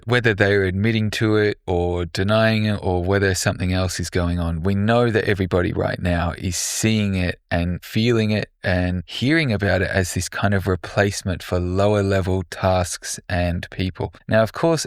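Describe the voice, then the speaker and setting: Male podcast host, very low voice, reflective and wandering, dry close mic. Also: steady tone, moderate pace, few pauses, smooth, no room sound. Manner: reflective, long wandering questions with restarts. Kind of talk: casual interview talk.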